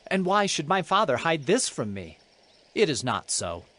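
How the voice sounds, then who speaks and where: An actor playing a loyal young prince in dramatized scripture, speaking earnestly and reassuringly. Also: male voice, fairly high pitch, sing-song, moderate pace, bright, forceful tone. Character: Jonathan, loyal young prince and sworn friend. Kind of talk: dramatized scripture audio acting.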